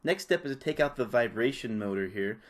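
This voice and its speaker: A man's voice: medium pitch, lively intonation, brisk. A man presenting a repair tutorial, giving casual, informal step-by-step hands-on instructions. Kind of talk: casual hands-on how-to narration.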